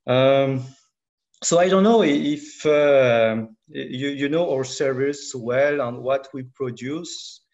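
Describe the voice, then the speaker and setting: A man giving a webinar presentation on market statistics. He speaks haltingly and fills nearly every pause with "uh". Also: mid-range voice, a little mellow, dull audio. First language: French. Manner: halting, with constant filler pauses. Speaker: market analysis director presenting.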